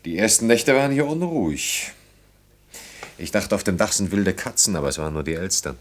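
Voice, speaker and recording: Brooding engineer guy in a radio drama, deep voice, thinking out loud, stops and starts. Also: expressive, brisk. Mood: brooding, reflective. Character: brooding engineer haunted by wartime childhood and lost friends. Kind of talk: German radio play acting.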